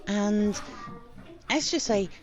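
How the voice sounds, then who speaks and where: A woman's voice: medium pitch, slow, highs cut off, faint music behind. A British woman giving casual travel vlog commentary in a wry, understated way. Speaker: travel vlogger.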